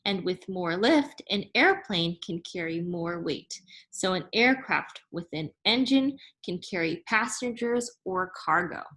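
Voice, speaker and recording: A woman reading a children's science picture book aloud like a teacher, in a deliberate, instructive way that makes each term clear. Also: lowish voice, animated, fairly bright tone. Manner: deliberate, instructive, spelling out and explaining terms.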